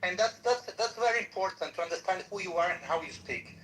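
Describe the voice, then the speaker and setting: A man's voice: high voice, lively intonation, fairly quick, a bit bright, distant mic, poor audio. A man, pressing hard in a heated panel debate and repeating his words. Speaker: panel participant. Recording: faint background noise.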